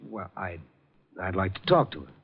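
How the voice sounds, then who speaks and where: A man's voice: low voice, big pitch swings, moderate pace, husky, heavily acted. Muffled vintage radio probing newspaper man. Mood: soothing, firm. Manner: wry, questioning, laconic. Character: cool, probing, world-weary newspaper reporter, adult man. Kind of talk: 1950s radio drama acting and first-person narration.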